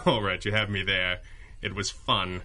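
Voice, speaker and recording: An actor playing a cocky, sardonic masked hero in a 1930s-style radio drama, with a dry, flippant, wisecracking delivery. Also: male voice, low-pitched, fairly even intonation, slow, sharp tone, exaggerated voice. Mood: needling.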